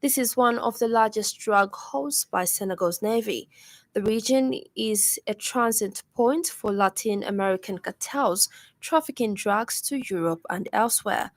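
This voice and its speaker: A woman's voice: medium pitch, unhurried, no echo. Zambian woman reading radio news, dry studio sound. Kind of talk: scripted news bulletin reading.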